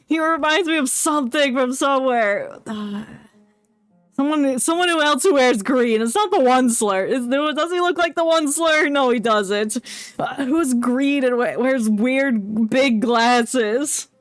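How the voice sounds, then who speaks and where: A woman's voice: high-pitched, a little slow, clean tone, dry recording, clean studio sound, soft background music. A woman streaming a game with casual, joking, giggly commentary and plenty of swearing.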